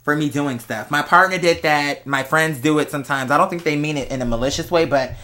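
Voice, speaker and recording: A vlog host chatting casually and candidly to viewers, telling stories in an animated, slangy way. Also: medium pitch, a little fast. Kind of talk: casual confessional chat to viewers.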